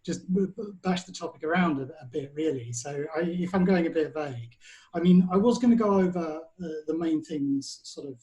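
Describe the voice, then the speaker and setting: Rambling higher-voiced male podcast host. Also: brisk, darkish tone, rough recording. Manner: rambling, stumbling over phrasing. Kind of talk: podcast interview questions.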